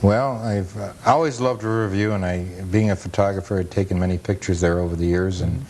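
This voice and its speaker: A deep-voiced American man with a soft, dark tone talks as an interview guest. He explains things casually and matter-of-factly. A slightly noisy 1980s cable TV recording carries the voice. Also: fairly even intonation, fairly quick. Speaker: interview guest, photo historian and author.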